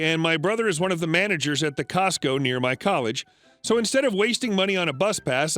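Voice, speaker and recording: A man narrating reddit stories in a scripted read, flat and even with sudden pitch jumps.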